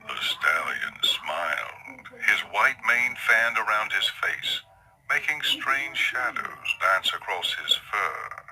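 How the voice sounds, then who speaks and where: A man reads a dark tale as a theatrical narrator. His fairly low voice swings in a lively way, with a very sharp, forceful edge and hushed emphasis on key words. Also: slow pace, slight background noise, low-quality recording. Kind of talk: dramatic scripted story narration.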